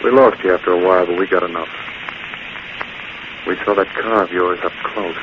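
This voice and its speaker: An actor playing a tough, slangy, cocky American racketeer boss in a 1940s radio drama. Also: male voice, deep voice, fairly even intonation, brisk, natural voice. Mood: cocky, bargaining. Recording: muffled, noisy 1940s radio recording.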